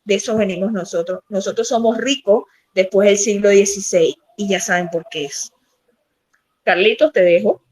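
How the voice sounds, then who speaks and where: A woman's voice: mid-range voice, moderate pace, darkish tone. A woman from Portugal, a journalist, speaking with insistent emphasis in long runs on a podcast. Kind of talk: opinionated podcast chat.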